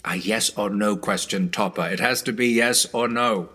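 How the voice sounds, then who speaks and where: An actor playing a kindly, sentimental young gentleman in a radio play, genial and earnest. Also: on the low side, moderate pace. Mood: playful.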